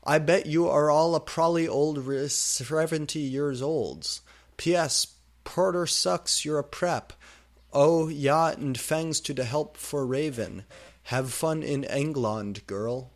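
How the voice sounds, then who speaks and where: A man's voice: a little high, takes it slowly, pitched above the performer's own voice. A man voicing a defensive, petulant teenage girl author ranting emphatically at her readers.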